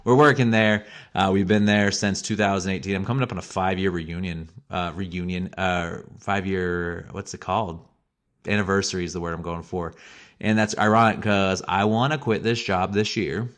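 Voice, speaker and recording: A man's voice: sits low, fairly even intonation, moderate pace, a bit bright. A young man from Missouri with a Missouri accent, hosting a solo podcast in a rambling, self-deprecating monologue. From Missouri, USA. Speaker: podcast host.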